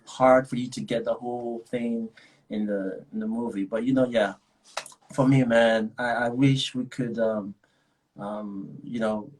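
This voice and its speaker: A man's voice: mid-range voice, fairly even intonation, measured pace, a little mellow, poor audio. Nigerian guy rambling in a casual chat, laughs a lot, keeps restarting. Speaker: actor guest.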